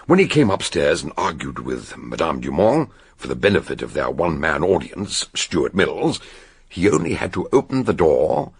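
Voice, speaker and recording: A man's voice: sits low, vocal fry, breathy edge, heavily acted. An older British actor playing an erudite amateur detective in a radio drama, laying out his explanation with theatrical, emphatic relish. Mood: triumphant, explanatory. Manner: dramatic, emphatic, deliberate storytelling. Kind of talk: radio drama acting, expository storytelling.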